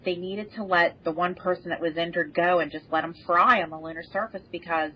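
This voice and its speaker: A woman with a fairly low voice talks casually on a panel. She tells her stories in an animated way, with small chuckles between phrases. The recording is a little dull and lo-fi. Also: a little fast. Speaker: podcast guest who helped write a space radio drama. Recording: dull, band-limited lo-fi audio.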